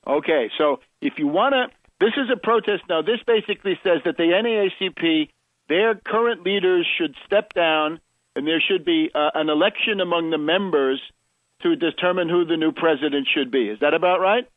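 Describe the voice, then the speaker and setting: Dry-sounding male radio host, slightly high voice. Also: no room sound, phone-quality sound. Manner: deliberate, restates points to check them. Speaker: political radio show host. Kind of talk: conversational radio interviewing.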